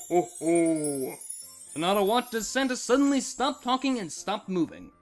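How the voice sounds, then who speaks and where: A man narrates a story from a script. His voice is high for a male and very lively in its melody, with soft music playing underneath.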